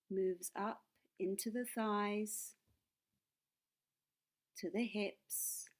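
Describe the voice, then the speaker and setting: A woman leading a guided meditation as a teacher, speaking in a calm, soothing and deliberate way with pauses between phrases. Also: medium pitch.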